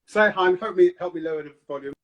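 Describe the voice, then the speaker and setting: A man's voice: sits high, fast talker, muffled recording. A man hosting a live-streamed interview, upbeat and hyping up the audience between questions. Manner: upbeat hype, repeats prompts to the audience. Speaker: host.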